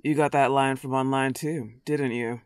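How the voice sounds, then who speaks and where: A woman's voice: rumbling low, steady tone, normal speed, a little mellow, clean tone, no room sound, clean studio sound. A woman playing a love-obsessed werewolf girl in a comedic roleplay audio drama, playful, taunting and cocky. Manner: playful, taunting, swooping emphasis.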